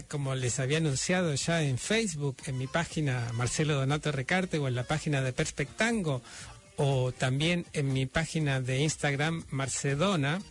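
Man, radio host, higher pitch, announcer-like delivery, faint music underneath. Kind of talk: radio show hosting.

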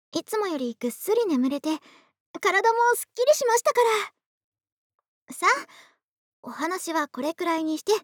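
Actress playing a doting young woman next door, very high-pitched, soft and polite, intimate ASMR drama, close dry recording. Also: no echo. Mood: gentle, cheerful. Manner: soft, gentle, polite, intimate murmuring with breathy whispers. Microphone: close dry studio microphone.

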